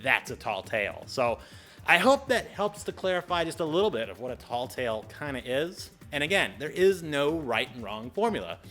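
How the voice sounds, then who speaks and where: A man with a bright, forceful voice presents a casual explainer. He is chatty and animated, pitched a little high, and throws in playful, exaggerated asides. Faint music plays behind him. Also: moderate pace. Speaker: video host giving public speaking tips.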